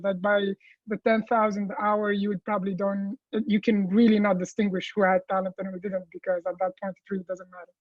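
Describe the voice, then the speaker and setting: Young man from Tunisia, gravelly, dull dry lo-fi sound, earnest. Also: high voice, dry recording. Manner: earnest, rambling, self-correcting. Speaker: comedian and filmmaker panelist. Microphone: video call.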